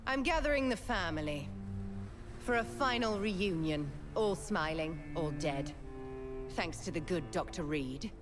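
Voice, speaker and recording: A woman with an English accent playing a vengeful, grieving undead vampire sister in a video game, mocking and unhinged, in a theatrical, drawn-out manner. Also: lowish voice, animated, over music. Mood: menacing, gleeful. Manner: taunting, dramatic, drawn-out.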